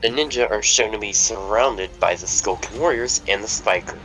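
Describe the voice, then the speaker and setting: A man's voice: fairly low pitch, normal speed, a bit bright, soft background music, poor audio. A man narrating the stage directions of a casual fan table read over voice chat. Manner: plain read-aloud narration, joking between lines.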